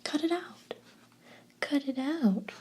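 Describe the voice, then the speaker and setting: A woman's voice: lively intonation, slow, darkish tone. A woman whispering as a whiny little girl. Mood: upset. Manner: whispered, high and shrill when upset. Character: whiny seven-year-old girl, bratty and defensive.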